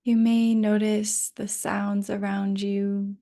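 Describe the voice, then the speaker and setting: Slow, creaky-voiced woman guiding meditation. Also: mid-range voice, flat delivery. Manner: gentle, unhurried, with long pauses. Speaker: yoga nidra guide. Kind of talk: guided rest meditation.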